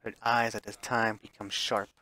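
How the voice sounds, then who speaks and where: A man's voice: lowish voice, little pitch movement, normal speed, pushed and bright, dry recording. A man reading a visual novel aloud as dramatic narration, sometimes stumbling and repeating phrases. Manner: stumbles over words and re-reads phrases.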